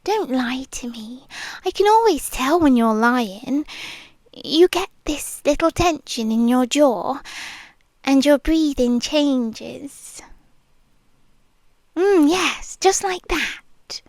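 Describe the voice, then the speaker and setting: A sweetly controlling young nurse, voiced by a woman with a British accent murmurs and whispers right against the mic. Her voice sits high and light, and she drags every word out with syrupy slowness. The sound is dry and close, with no room around it. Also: animated, a little mellow, no room sound, heavily acted. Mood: whispery possessive. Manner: slow, intimate, teasing purr with frequent whispers. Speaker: ASMR roleplay creator. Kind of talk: ASMR roleplay, soft-spoken and whispered.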